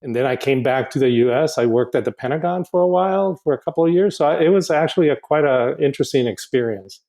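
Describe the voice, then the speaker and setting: A man in his sixties from Honduras, a podcast guest who speaks in a halting, reflective way, often restarting and repeating words. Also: fairly high pitch, dark tone. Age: 60s. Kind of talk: casual podcast interview.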